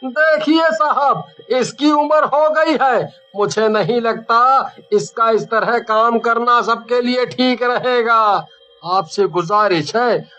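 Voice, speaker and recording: Casting: a man as a whiny young schemer, very high bright voice, slow delivery, cartoon tale. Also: exaggerated voice. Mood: indignant. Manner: exclaiming, complaining. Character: whiny, dishonest young man, easily frightened. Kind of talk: animated story dialogue. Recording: dull lo-fi sound, music underneath.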